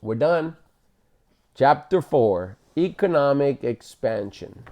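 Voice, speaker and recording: Mellow-voiced man reading aloud. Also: medium pitch, expressive, slow. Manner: explanatory, stumbles and corrects himself while reading. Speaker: parent reading aloud to children. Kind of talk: bedtime read-aloud of a book, with casual asides.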